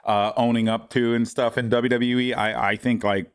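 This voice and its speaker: A man co-hosting a wrestling podcast, talking informally and haltingly with lots of "you know" and "like". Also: medium pitch, flat delivery, clean tone. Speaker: podcast co-host. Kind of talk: casual wrestling chat.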